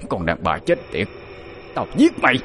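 A man's voice: fairly low pitch, big pitch swings, fairly quick, roomy sound, long reverb tail, a little hiss or hum. A man voicing the bitter, crude ghost of a murdered husband, snarling resentfully through clenched teeth. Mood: furious.

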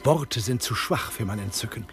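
An actor playing a flattering, courtly gentleman in a radio play. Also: medium pitch, moderate pace, soft background music, lightly acted.

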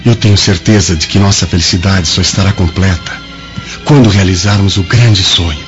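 An actor playing a doting, concerned young Roman husband in a radio drama, tender and solicitous in manner. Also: male voice, low voice, fairly even intonation, brisk, natural voice. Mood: eager, loving. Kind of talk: dramatic radio play acting. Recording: dull lo-fi audio under clear music.

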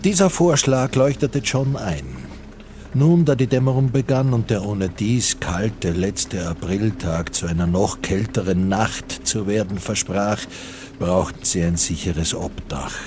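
A man's voice: lowish voice, unhurried, a little mellow, slight background noise, natural voice. A man as the composed narrator of a radio drama, reading literary scripted prose. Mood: composed, atmospheric. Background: music.

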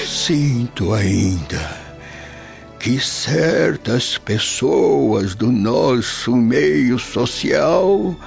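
Old brazilian dad near death, creaky slow solemn speech, pitch swells and drops. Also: male voice, a little mellow, exaggerated voice. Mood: solemn concern. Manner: grave, declamatory. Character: dying old Roman father, solemn, philosophical, tender. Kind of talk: dramatic radio play acting. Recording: dull lo-fi radio drama, music behind.

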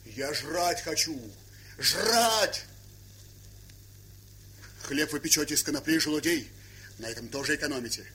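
An actor playing a hot-headed young soldier, aggrieved and blustering, bellowing indignantly in a theatrical drama style. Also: male voice, fairly high pitch, measured pace, pauses often, pushed and bright. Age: young adult. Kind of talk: theatrical stage drama acting. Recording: roomy 1970s radio-play recording.

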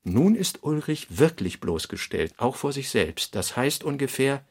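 Gravelly man reading literary prose. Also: medium pitch, very animated, measured pace, darkish tone, no echo, studio quality. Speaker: literary narrator and reader. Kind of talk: scripted literary narration for a radio play.

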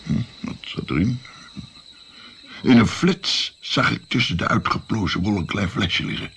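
Creaky, deep-voiced old grandfather storyteller. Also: expressive, a bit bright, slight background noise. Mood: reminiscing. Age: older. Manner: rambling, halting, hems as he recalls. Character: reminiscing old grandfather telling his adventure to a child. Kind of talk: radio play narration.

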